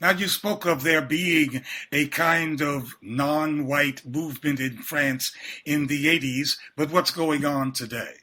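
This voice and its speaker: A man hosting a radio interview, asking measured, deliberate questions. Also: on the high side, pushed and bright.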